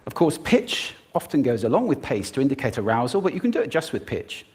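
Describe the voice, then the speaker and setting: Lively, expressive male speaker. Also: mid-range voice, fast talker, husky. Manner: expressive, demonstrating vocal techniques. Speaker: public speaker. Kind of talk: prepared talk on stage.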